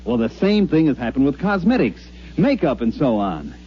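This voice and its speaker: A man's voice: fairly high pitch, wildly swooping pitch, normal speed, heavily acted. An actor playing a glib, scheming male con man who narrates his own story wryly in a 1950s radio drama. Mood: smooth bluffing. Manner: wry, smooth-talking. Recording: muffled 1950s radio broadcast with music.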